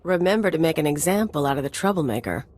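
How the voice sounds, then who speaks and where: An actress playing a sardonic, tough outlaw companion in a video game, with casual, wry delivery. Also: female voice, sits low, lively intonation, fast, darkish tone. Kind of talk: video game character acting.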